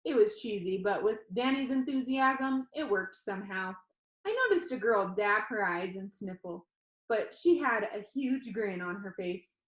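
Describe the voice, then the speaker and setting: A woman's voice: mid-range voice, unhurried. A woman reading a first-person novel aloud as narrator. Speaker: audiobook reader. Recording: echoey room.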